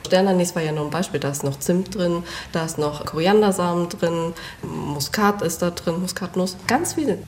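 A woman's voice: fairly low pitch, normal speed, hardly any pauses, darkish tone, slight background noise. A young woman born and raised in Germany, a restaurant owner and cook, giving short, plain answers in an interview and laughing often.

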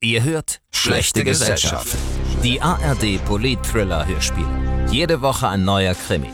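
A man's voice: lowish voice, very animated, takes it slowly. A man reading a scripted podcast announcement as an announcer. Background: music.

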